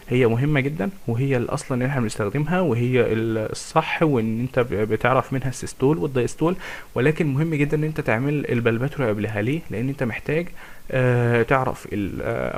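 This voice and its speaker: A young Egyptian man rattles through a medical revision lesson at a breakneck pace. His voice sits at a middle pitch, and he keeps breaking off with fillers and restarts. He quizzes his listeners as he goes. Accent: Egyptian Arabic. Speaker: medical tutor. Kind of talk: fast exam revision lecture.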